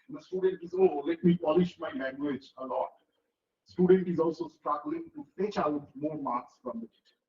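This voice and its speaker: Middle-aged male lecturer, high voice, echoey dull hall recording. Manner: explains step by step, asks listeners rhetorical checks. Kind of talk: technical lecture with classroom analogies.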